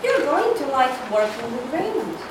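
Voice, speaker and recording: A woman's voice: high voice, very animated, normal speed. A woman cold reading the part of a domineering, haughty corporate older sister in a screenplay, bossy and dryly sarcastic. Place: indoor reading hall, recorded from far off. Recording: echoey room, distant mic, loud noise.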